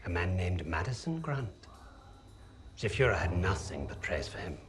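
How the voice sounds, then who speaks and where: An actor playing a coldly polite, bookish Nazi German officer in a war game, speaking in a measured, formal, menacing way with a German accent. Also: male voice, deep voice, sing-song, slight background noise. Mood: quiet menace. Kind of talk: game cutscene acting. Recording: music under the dialogue.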